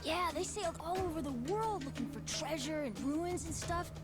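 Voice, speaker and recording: A kind young boy in a video game. The voice is high, chatty and earnest, with music behind it. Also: animated, normal speed. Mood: curious, hopeful. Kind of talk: English video game voice acting.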